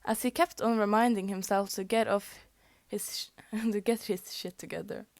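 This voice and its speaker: A woman's voice: medium pitch, fairly even intonation, normal speed, no echo, studio quality. A young woman whose first language is Norwegian, reading fan fiction aloud, often tripping over words and laughing at her slips. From Norway. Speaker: fanfic reader.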